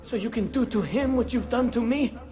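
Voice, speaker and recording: An actor playing a frightened, paranoid fugitive in a video game, rambling nervously in halting bursts. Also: male voice, extremely high pitch. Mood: fearful resignation. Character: frightened, paranoid fugitive man, muttering and sleepless. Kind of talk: dramatic game dialogue.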